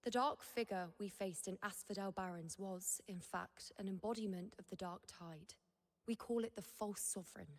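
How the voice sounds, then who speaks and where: Actress as a solemn young seer in long reverb. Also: female voice, mid-range voice, natural voice. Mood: grave, resigned. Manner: measured, grave, formal delivery. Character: solemn young woman seer who defies fate, burdened, proud. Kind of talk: scripted dramatic video game dialogue.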